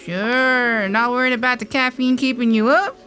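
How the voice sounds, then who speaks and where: An actress playing a chirpy, chatty cafe server, casual and familiar in audio drama dialogue. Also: female voice, on the high side, fairly even intonation, normal speed, pushed and bright. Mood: friendly, chatty.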